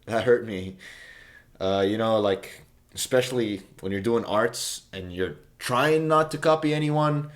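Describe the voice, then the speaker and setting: A young man from Saudi Arabia, a native Arabic speaker, casually explaining the meaning of his song in a meandering, self-correcting way with many fillers. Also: on the low side.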